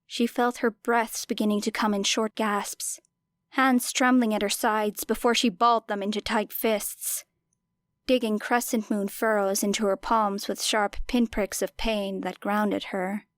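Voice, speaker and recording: A woman's voice: mid-range voice, little pitch movement, a little slow, dry recording. A woman reading scripted fiction as an audiobook narrator, with dramatic delivery.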